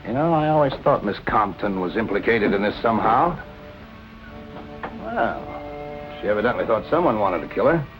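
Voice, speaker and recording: Businesslike noir police captain, lively intonation. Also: male voice, fairly low pitch, moderate pace, long pauses. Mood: grim, businesslike. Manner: matter-of-fact, authoritative. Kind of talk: 1940s film noir acting. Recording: muffled old film soundtrack, music underneath.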